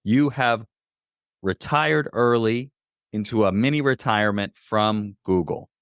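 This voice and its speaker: Earnest male podcast host, low voice. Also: measured pace, fairly bright tone, smooth, dry recording, clean studio sound. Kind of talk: scripted intro then interview.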